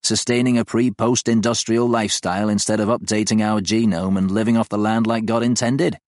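A man's voice: on the low side, fairly even intonation, a little fast, darkish tone, no echo, clean studio sound, voice pushed up, close to an everyday voice. A man voicing a worn middle-aged farmer, a know-it-all who speaks emphatic rustic dialect.

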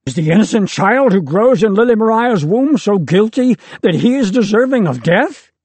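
A hoarse young man, wounded and defiant, cries out in pain, as a man acts him out. His rasping voice is pushed high and loud in a dry storybook reading. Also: animated, normal speed, dry recording. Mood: shouting. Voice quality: hoarse rasp.

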